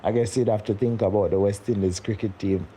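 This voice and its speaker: A man's voice: fairly low pitch, steady tone, fairly quick, mellow, a little hiss or hum, low-quality recording. A young man, hosting a casual live stream and chatting with viewers, rambling and repeating his words as he goes. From Jamaica. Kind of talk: casual live-stream chat reading comments.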